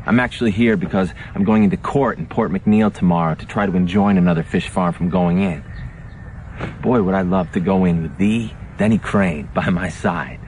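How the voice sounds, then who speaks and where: An actor playing an earnest, polite environmental attorney in a TV legal drama. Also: male voice, low voice, moderate pace, darkish tone, slight background noise, natural voice. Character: earnest, polite male environmental attorney, admiring but persistent.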